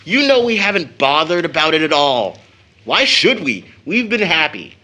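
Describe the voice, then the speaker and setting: An actor playing an easygoing, protective young son in an audio drama. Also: male voice, a little high, sing-song, normal speed, pushed and bright. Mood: reassuring. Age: young adult. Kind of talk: stage audio drama acting.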